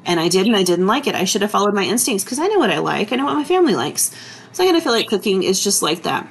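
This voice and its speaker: A woman, chatting relaxed and easygoing as she narrates her cooking vlog. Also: mid-range voice, rapid-fire, vocal fry, husky. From Texas. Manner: chatty, relaxed, full of fillers. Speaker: vlogger. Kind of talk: casual kitchen vlog narration.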